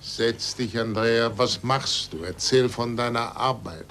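An actor playing an aged, bitter, resigned scientist in a 1940s radio drama, speaking formally and deliberately. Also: male voice, medium pitch, a bit bright. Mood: guarded. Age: older. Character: aged recanted scientist under church supervision, bitter and resigned. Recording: noisy 1940s radio recording.

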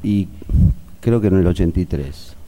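A middle-aged or older man with an Argentine accent giving halting spoken testimony as a pediatrician witness, searching for details. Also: deep voice, lively intonation, dark tone.

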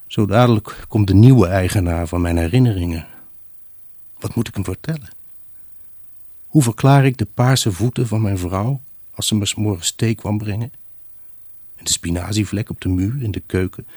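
An actor playing a lonely, grieving husband in an intimate radio drama monologue, brooding, halting and emotionally raw. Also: male voice, on the low side, dark tone, slightly rough, no room sound. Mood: brooding, wistful. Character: grieving, haunted husband, lonely, obsessive and pleading. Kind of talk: Dutch radio drama monologue, intimate first-person narration.

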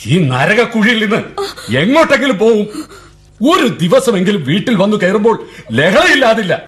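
A man's voice: high voice, big pitch swings, moderate pace, a bit bright. An actor playing a defiant young man who mocks his family with bitter laughs. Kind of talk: 1970s radio drama acting.

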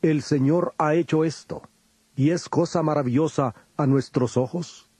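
An actor playing a solemn, firm male teacher with authority, speaking in a measured, declamatory way in a dramatized scripture recording. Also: a little high, dark tone, slightly rough, dry recording. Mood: solemn storytelling.

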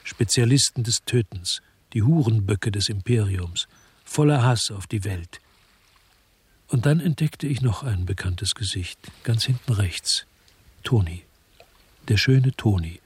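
A man's voice: on the low side, expressive, measured pace, long pauses, a little mellow, slightly rough, no room sound, full character voice. An actor playing a world-weary crime investigator in a radio drama, brooding and laconic as he narrates and talks. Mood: grim.

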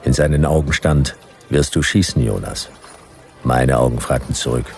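A man playing a laconic, world-weary detective narrator in a radio drama, terse, deadpan and measured. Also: extremely low pitch, creaky, soft background music. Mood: suspenseful.

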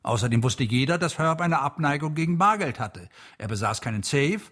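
An actor playing a sober, weary murder investigator in a radio drama, speaking in a measured, reasoning way. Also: male voice, a little high, animated, fairly bright tone. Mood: grave, reasoning.

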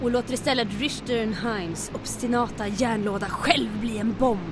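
A woman's voice: music in the background, natural voice. A woman acting a bold, commanding, unflappable aeroplane captain in an adventure radio drama. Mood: confident. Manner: brisk, commanding, confident. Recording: aircraft engine rumble.

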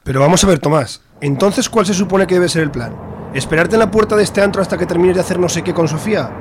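Man acting a fast, disdainful Spanish snob. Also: high-pitched, lightly acted. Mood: indignant. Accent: Spanish from Spain. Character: snobbish, impatient middle-aged club man and father. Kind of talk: radio serial acting. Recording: noisy urban street outdoors with cars.

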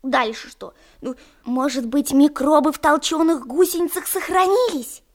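Studious little schoolgirl played by a woman, very high voice, earnest and precise, children's radio drama. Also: moderate pace, close to an everyday voice. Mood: earnest, proud. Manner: earnest, matter-of-fact explaining. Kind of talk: children's radio play acting.

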